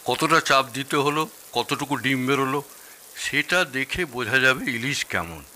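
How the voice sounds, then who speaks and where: A man's voice: mid-range voice, animated, unhurried, pushed and bright, slight background noise. A man acting an opinionated, nostalgic middle-aged father-in-law who loves his food, in a theatrical audio-drama style. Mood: explanatory. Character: grumbling, nostalgic elder Bengali father-in-law, fond of food.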